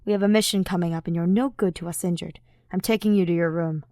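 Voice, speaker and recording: A woman's voice: fairly low pitch, steady tone, fast talker, dark tone, no room sound, studio quality, lightly acted. A woman voicing a brusque, impatient young male fighter with a disdainful manner in an audiobook story. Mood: exasperated. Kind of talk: fan fiction audiobook character voice.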